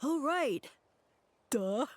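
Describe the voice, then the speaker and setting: Very high, dim little mushroom sidekick. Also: female voice, lively intonation, drawn-out words, faint music behind, full character voice. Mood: dim, excited. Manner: gullible, gushing, stammering. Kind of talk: video game character acting.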